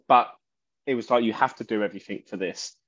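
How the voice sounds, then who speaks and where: A man, a musician guest in an interview, talking haltingly and often restarting his sentences. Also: mid-range voice. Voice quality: loud voice. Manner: halting, searching for words, many restarts. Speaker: musician guest, bassist and singer. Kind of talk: casual interview talk. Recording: dull lo-fi sound.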